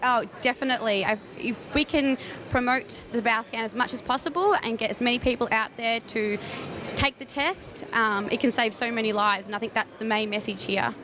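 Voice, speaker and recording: A woman's voice: a little high, fairly quick, background noise. A woman, a medical researcher giving explanatory answers in a news interview.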